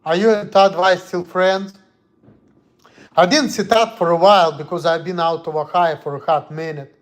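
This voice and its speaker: Man with a Russian accent, high-pitched, blunt, heated, casual stream chat. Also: steady tone, moderate pace. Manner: blunt, heated, speaks in broken English.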